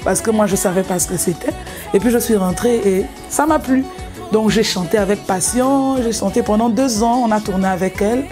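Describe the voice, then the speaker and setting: A woman's voice: medium pitch, normal speed, over music. A young woman from Gabon, a singer, telling her story in an interview, hesitant, with many fillers and restarts. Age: young adult.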